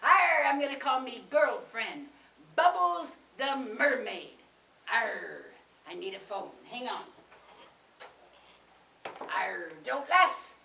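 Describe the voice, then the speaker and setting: Lady putting on a silly lovesick pirate guy, bright creaky growl, echoey room, bit far from mic. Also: on the high side, lively intonation, slow, long pauses, heavily acted. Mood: bored, grumbly. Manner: growling, blustery, comic pirate talk. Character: goofy, lazy, lovesick male pirate.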